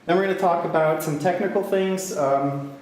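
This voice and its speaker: A man from Austria, giving a tech talk to a meetup crowd in English, halting, with many fillers and restarts, and a joking, self-deprecating manner. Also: on the high side, a little mellow. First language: German. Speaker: conference speaker. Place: large echoey hall with a crowd. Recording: very echoey hall, faint noise.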